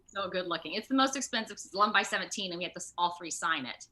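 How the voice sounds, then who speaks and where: A woman with a slightly low, bright voice talks casually as an interview guest. She is chatty and expressive, working out her thoughts aloud in loose, informal phrases. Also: racing. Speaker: guest, video game voice actor. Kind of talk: casual animated interview chat. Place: remote video call.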